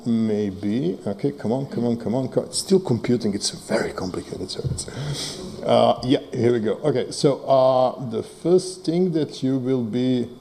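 Man with a mellow voice. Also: mid-range voice. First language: Serbo-Croatian. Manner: hesitant, many fillers, joking asides. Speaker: conference presenter, data scientist. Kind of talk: conference talk with live demo.

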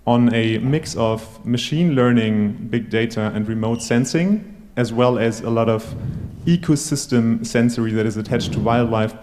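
Mellow technical man in a reverberant hall. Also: on the low side, a little hiss or hum. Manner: technical, wordy, long winding sentences. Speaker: tech company CTO, panelist. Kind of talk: explanatory panel talk.

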